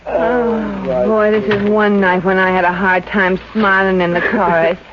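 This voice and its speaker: An actress playing a wisecracking, soft-hearted showgirl with slangy exclamations in a 1950s radio comedy. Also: medium pitch, level tone, a little slow, a crowd in the background, natural voice. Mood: sympathetic. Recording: dull, noisy old radio recording.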